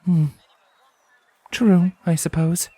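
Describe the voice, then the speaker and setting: A woman playing a wary ex-soldier pony, speaking in a formal, commanding, measured way in a dramatic story reading. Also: lowish voice, steady tone, warm tone, dry recording. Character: stern, wary female pony, ex-soldier fugitive. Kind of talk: dramatic audiobook acting.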